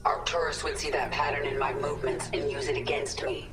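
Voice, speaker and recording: A woman's voice: deep voice, flat delivery, fairly quick. An actress playing a vengeful alien swarm queen in a video game, cold, resolute and commanding, with a layered processed voice. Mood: grim determination. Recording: echoey, distant, under loud game music.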